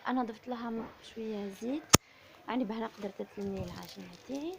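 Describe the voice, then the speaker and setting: A woman with an Algerian Arabic accent who is slightly hoarse from illness, calmly explaining a recipe as a cooking-channel host. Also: fairly high pitch, quick pace, heavy background noise. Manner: soft, subdued, steady explaining. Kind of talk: recipe tutorial voice-over.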